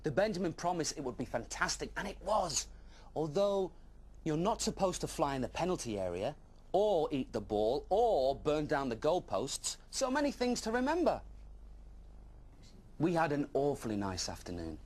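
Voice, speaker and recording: A man's voice: a little high, sing-song, normal speed, a little mellow, breathy edge, pitched above the performer's own voice. A man voicing an eager, wide-eyed young dragon who tells his adventure with childlike wonder in a children's storybook. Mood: amused, excited.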